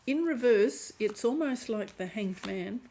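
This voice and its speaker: A woman giving a chatty tarot reading to camera, hoarse and often clearing her throat as her voice fades. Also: on the high side, animated, slow pace, mellow, a little hiss or hum, low-quality recording. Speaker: tarot reader.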